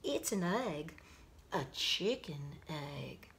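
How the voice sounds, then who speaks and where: A woman voicing a smug female frog who knows everything, speaking in a low, matter-of-fact way in a storybook reading. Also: big pitch swings, extremely slow, creaky, fairly bright tone, a little hiss or hum, full character voice. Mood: knowing. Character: know-it-all female frog, calm and smug.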